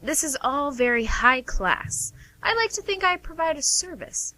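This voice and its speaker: A woman's voice: sits high, big pitch swings, a little slow, bright, forceful tone, exaggerated voice, rough recording. A woman acting a flustered, defensive young woman in a radio play, chatty and hesitant as she justifies herself. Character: defensive, flustered young working woman hiding her profession from family.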